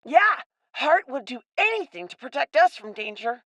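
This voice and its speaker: A woman's voice: high-pitched, very animated, moderate pace, fairly bright tone, dry recording. A woman playing a plucky, loyal little boy castaway in a radio play. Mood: curious.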